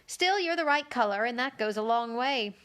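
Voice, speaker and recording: Woman playing a prim, high-voiced, condescending rose. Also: expressive, normal speed, fairly bright tone, smooth. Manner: severe, patronising.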